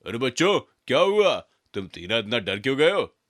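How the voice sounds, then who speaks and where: A man voicing a proud, boastful father frog with a quick temper in a children's animated fable. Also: medium pitch. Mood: concerned. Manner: boastful, blustering.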